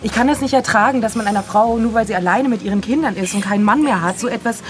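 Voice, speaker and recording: A woman's voice: fairly high pitch, quick pace, music in the background, lightly acted. An actress playing a fed-up, morally outraged woman in a radio drama, accusing and biting in manner. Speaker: actor.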